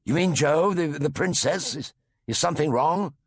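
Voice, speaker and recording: A man voicing a brisk, clever male adventurer with clipped speech. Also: on the low side, huge pitch swings, vocal fry, breathy edge, no echo, clean studio sound, exaggerated voice. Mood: concerned.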